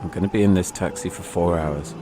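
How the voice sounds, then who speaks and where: Very deep-voiced man telling a suspenseful story. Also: brisk, over music. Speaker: storyteller and podcast host. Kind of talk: dramatic first-person travel narration.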